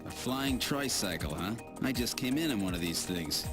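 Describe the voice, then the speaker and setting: A man's voice: lowish voice, animated, moderate pace, over music, natural voice. A man playing a casual, wry young-at-the-job detective hero in a video game, often questioning. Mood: wry, curious.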